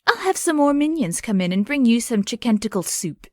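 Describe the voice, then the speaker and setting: A woman's voice: a little high, very animated, brisk, no echo, studio quality. A woman playing a doting but callous alien empress in a parody audio drama, syrupy and menacing. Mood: cloying, doting.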